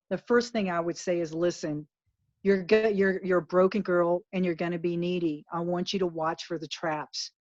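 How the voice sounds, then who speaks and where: A woman's voice: on the low side, no room sound. A woman guest in an interview, speaking in an earnest, emphatic and motivational way. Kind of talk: candid interview talk. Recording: dull lo-fi dry sound.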